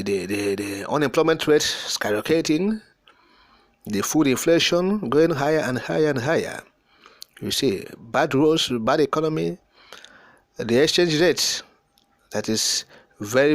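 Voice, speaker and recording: Man, Nigerian accent, impassioned commentator, oratorical, emphatic repetition, mid-pitched voice, dry close sound. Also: dry recording. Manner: emphatic, oratorical, repeats and piles up words for emphasis. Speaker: political commentator. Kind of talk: impassioned political commentary, unscripted.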